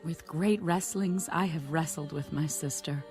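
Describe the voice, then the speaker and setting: Intense, slow-spoken biblical wife. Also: female voice, low voice, a little mellow. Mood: triumphant. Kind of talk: dramatized audio Bible acting. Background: music.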